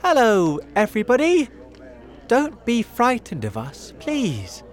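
Man as small storybook boy, raised pitch, cheerful, excited, friendly, eager. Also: takes it slowly, exaggerated voice. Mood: cheerful greeting.

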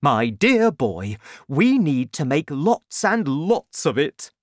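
A woman voicing an excitable, bossy middle-aged father in a children's storybook, exclaiming emphatically and insistently. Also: a bass voice, extremely slow, dry recording, studio quality, full character voice. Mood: excited, insistent.

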